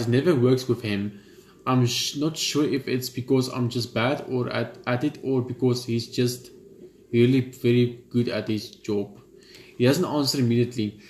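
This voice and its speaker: A man's voice: mid-range voice, a little mellow, a little hiss or hum. Casual man reading a game aloud in a roomy room. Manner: casual, stumbling, swears offhand. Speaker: gaming channel host. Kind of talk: reading a visual novel aloud with commentary.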